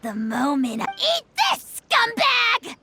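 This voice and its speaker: A performer voicing a tiny, boastful dragon creature in a video game, bombastic, mocking and squawky, with loud music behind. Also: very high voice, wildly swooping pitch, takes it slowly, background noise, full character voice. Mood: gleeful menace. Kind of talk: cartoonish video game dialogue.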